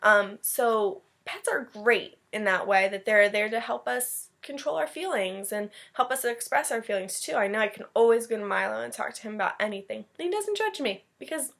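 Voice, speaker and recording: A woman's voice: fairly high pitch, expressive, normal speed, vocal fry, hardly any pauses, a bit bright. A woman reading a storybook aloud as a teacher, adding chatty, encouraging asides in simple words for children. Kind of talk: animated storybook read-aloud with casual asides.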